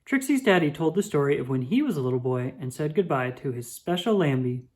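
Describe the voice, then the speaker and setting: A woman reading a children's picture book aloud with expressive, drawn-out emphasis. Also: low-pitched. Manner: animated, dramatic emphasis with drawn-out words.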